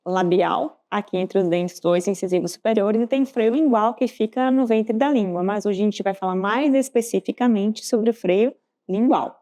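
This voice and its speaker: A woman's voice: medium pitch, moderate pace, mellow. A Brazilian woman co-hosting a podcast, explaining things conversationally as a health professional and often adding small check-in tags. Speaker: podcast co-host and pediatric dentistry professional.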